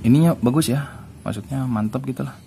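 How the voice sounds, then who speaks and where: A man giving a casual hands-on unboxing review, informal and halting as he searches for words. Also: medium pitch, vocal fry, warm tone, faint music behind. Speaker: product reviewer.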